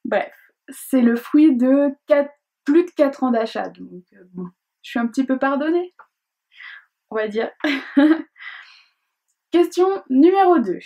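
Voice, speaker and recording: Young woman, beauty vlogger, fairly high pitch, easygoing chatty delivery full of fillers. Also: lively intonation, slow pace, long pauses, highs cut off. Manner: chatty, hesitant, many fillers and restarts; reads questions aloud in a brighter voice. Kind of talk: casual chat to camera.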